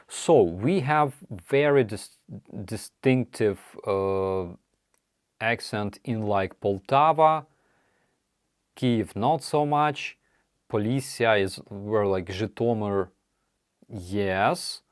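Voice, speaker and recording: A man with a Ukrainian accent hosting a casual live chat, answering viewer questions in halting, apologetic English with many restarts. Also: on the low side, clear, resonant tone, dry recording, studio quality. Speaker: livestream host.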